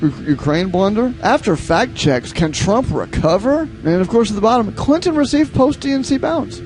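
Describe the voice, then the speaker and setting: A man's voice: high-pitched, a little mellow, rough recording. A man giving opinionated commentary on news headlines in a sarcastic manner, full of rhetorical questions. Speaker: political commentator. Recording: music playing behind the voice. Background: music.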